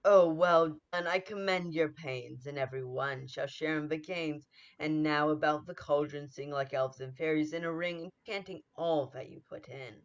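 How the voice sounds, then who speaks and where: A woman's voice: low voice, steady tone, moderate pace, hardly any pauses. A woman playing an ominous supernatural figure in a Shakespeare radio play, declaiming in incantations, on dull lo-fi audio. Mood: chanting, gleeful. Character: ominous witches and conjured spirit.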